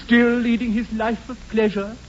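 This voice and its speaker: An actor playing a fussy, pompous English country clergyman in a 1940s radio comedy, with an unctuous, drawn-out delivery. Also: very high voice, flat delivery. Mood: solemn sympathy. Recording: dull, noisy 1940s radio broadcast.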